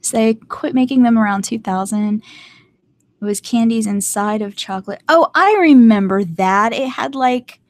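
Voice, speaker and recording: A woman's voice: on the high side, moderate pace, no echo. Woman with a slight southern twang just chatting on stream, rambly, laughs a lot, self-deprecating. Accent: light Southern US, North Carolina. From Southern United States. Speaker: streamer hosting a live hangout. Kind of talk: casual chat with a live chat.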